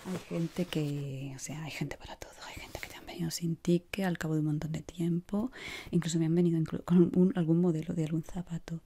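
A woman in her thirties with an accent from Spain, whispering softly and soothingly in an ASMR shop assistant roleplay, close to the mic. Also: low-pitched, fairly even intonation, brisk, breathy edge. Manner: soft, soothing whispering and murmuring. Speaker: ASMR creator playing a shoe shop assistant. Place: small indoor room.

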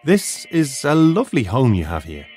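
An actor playing a nervous teacher who fakes a posh British accent, stammering and overly polite. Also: male voice, mid-range voice, wildly swooping pitch, normal speed, music in the background. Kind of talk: audio drama acting.

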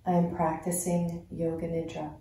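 A woman leading a guided meditation in a soothing, unhurried manner with long pauses between phrases. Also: low voice, monotone, mellow, a little hiss or hum. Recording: very echoey, reverberant sound.

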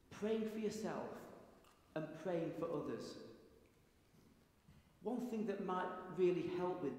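A middle-aged man preaching a sermon in a large stone church, slow and deliberate with long pauses. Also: sits high, darkish tone. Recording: echoey church with long reverb.

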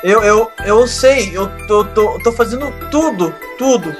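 High-pitched young hacker. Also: male voice, over music. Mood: nervous, cowed. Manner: halting, repeats words when pressed. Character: resentful young male hacker, a washerwoman's son. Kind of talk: audio drama acting.

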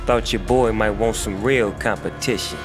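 A man's voice: fairly low pitch, steady tone, normal speed, music in the background, lightly acted. A man playing a sneering, menacing rival street thug in a video game cutscene. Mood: taunting.

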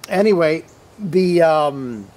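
A man with a fairly high voice walks through an airbrush demo at an unhurried, drawn-out pace. He rambles, piling up fillers and restarts, and drops in playful asides. He is recorded at a tabletop in an indoor studio. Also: lively intonation, a little mellow, rough recording. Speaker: host of an airbrush tutorial show. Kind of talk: casual product demonstration.

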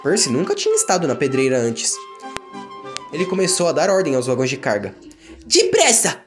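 A man's voice: medium pitch, expressive, a little fast, music in the background. A man with a Brazilian accent narrating a scripted children's story.

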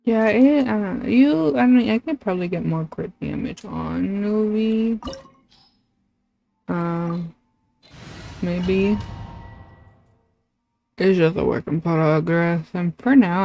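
A young woman chatting casually as she livestreams a game, in a halting, rambling way. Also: lowish voice, gravelly, mellow, highs cut off. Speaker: livestreaming gamer. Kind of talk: casual chat while playing a game. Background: video game music and combat sound effects.

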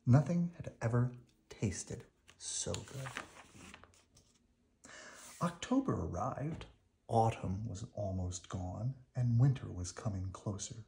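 Slow, mellow man reading a storybook. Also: on the low side, expressive, vocal fry, a little hiss or hum. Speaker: picture book reader. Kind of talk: slow, expressive children's read-aloud narration.

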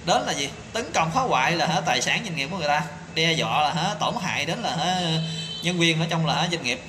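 A man's voice: fairly high pitch, expressive, quick pace, pushed and bright. A man in his thirties speaking an indignant opinion monologue to camera, full of rhetorical questions and fillers, filmed on a phone in a small tiled room. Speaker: commentator. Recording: echoey tiled room with background noise.

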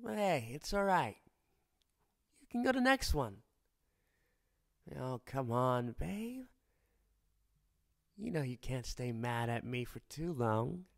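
A man's voice: mid-range voice, big pitch swings, slow, vocal fry, pauses often, exaggerated voice. A man playing a cocky, teasing fire-wielding boyfriend. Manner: teasing, playful, drops to close whispers. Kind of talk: ASMR boyfriend roleplay.

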